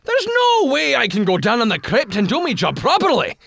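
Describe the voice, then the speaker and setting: An actor playing an exasperated, feisty gravedigger in a video game, with a high, creaky voice. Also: male voice, wildly swooping pitch, brisk, fairly bright tone, heavily acted. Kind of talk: video game cutscene acting.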